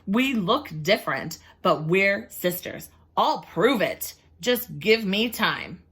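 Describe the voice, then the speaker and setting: A woman's voice: on the high side, big pitch swings, slow pace, pushed and bright, pitched above the performer's own voice, full character voice. A woman voicing a clever, plucky female chicken in a read-aloud storybook. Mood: earnest.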